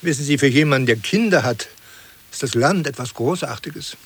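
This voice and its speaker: Earnest fussy gentleman in absurd radio play, slightly rough voice, pitch moves a lot. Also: expressive, moderate pace. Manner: polite, self-qualifying, earnest. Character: earnest, pedantic gentleman praising country life.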